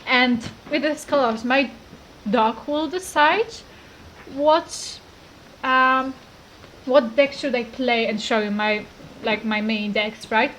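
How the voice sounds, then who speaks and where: A woman's voice: a little high, slow pace, fairly bright tone, a little hiss or hum, low-quality recording. A young woman from Poland with a Polish accent, chatting casually to camera about a card game, rambling with lots of fillers. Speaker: gaming YouTuber.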